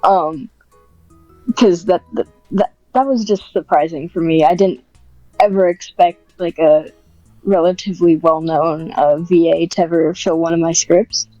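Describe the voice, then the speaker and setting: A woman chats casually as an interview guest, modest and hesitant. Her delivery is very unhurried. The sound is close and dry but a little dull, with faint music underneath. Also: on the low side, fairly even intonation, warm tone, no echo. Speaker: podcast guest, script writer.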